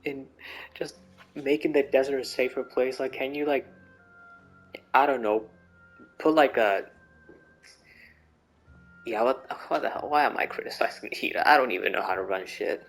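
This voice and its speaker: A man's voice: mid-range voice, normal speed, creaky, pauses often, poor audio. A young man giving casual, joking reaction commentary as a game streamer, slangy and repeating phrases for emphasis. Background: game music.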